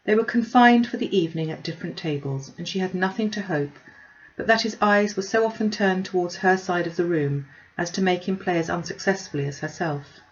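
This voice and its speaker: A woman reading a classic novel aloud as an audiobook narrator, in an expressive storytelling style. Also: lowish voice, brisk, dull audio. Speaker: audiobook reader.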